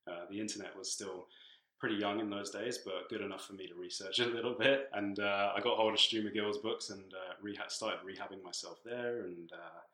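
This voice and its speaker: A young British-born man raised in East Africa, chatting casually as a podcast guest about training, with a relaxed, filler-laden way of talking. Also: fairly low pitch, fairly quick, echoey room. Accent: British English, shifting from living abroad. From born in England, grew up in Tanzania. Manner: relaxed, chatty, many fillers, laughs between phrases. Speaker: podcast guest, strength coach. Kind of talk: casual conversational interview.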